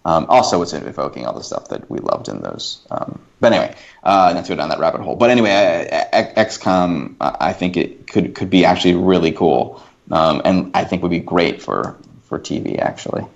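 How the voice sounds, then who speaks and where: A man's voice: low-pitched, lively intonation, a little fast, vocal fry, hardly any pauses. A man guesting on a casual film podcast, arguing his opinions with emphasis and repeated words. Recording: dull, lo-fi voice track.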